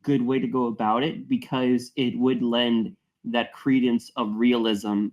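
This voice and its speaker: A man giving wordy, measured feedback in a casual group discussion. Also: mid-range voice, flat delivery. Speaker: table read participant giving feedback.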